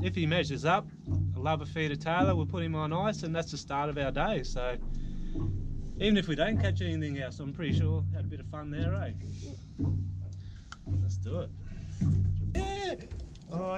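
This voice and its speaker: A man's voice: fairly high pitch, expressive, moderate pace, long pauses, over loud music, poor audio. Upbeat Australian man on a boat. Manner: upbeat, patiently coaching a child. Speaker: fishing show host. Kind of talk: casual instructional fishing chat. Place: small boat on open water outdoors. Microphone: action camera mounted on the boat. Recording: loud wind and water noise outdoors.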